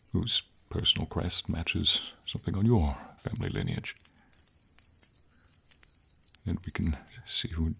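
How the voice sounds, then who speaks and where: A man playing a gentle, noble, melancholy silver dragon in an ASMR fantasy roleplay, speaking tenderly and formally in a breathy, gravelly voice. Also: slight background noise, heavily acted. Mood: gentle, reassuring. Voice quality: husky, gravelly, breathy low voice. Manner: slow, tender, formal, hesitant phrasing.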